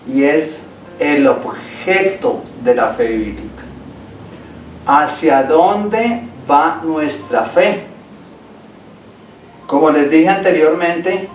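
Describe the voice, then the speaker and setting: A male pastor preaching a Sunday sermon in a church, emphatic and repeating key phrases for stress. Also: fairly high pitch. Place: church sanctuary. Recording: echoey hall, voice from far off.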